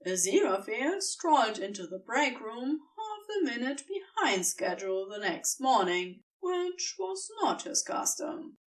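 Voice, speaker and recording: A woman reading fiction as an audiobook narrator, with deliberate storytelling delivery and dramatic pauses. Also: fairly high pitch, very animated, far from the mic, poor audio. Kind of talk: expressive scripted fiction narration.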